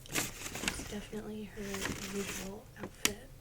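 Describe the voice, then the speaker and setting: A woman speaking softly and breathily in casual ASMR style, often slipping into a whisper, gently musing to herself. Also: mid-range voice, completely flat delivery, a little slow. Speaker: ASMR video creator. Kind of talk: soft-spoken ASMR, casual toy unboxing chat. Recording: loud crinkling and clicking handling noise. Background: crinkles, clicks, crumpling.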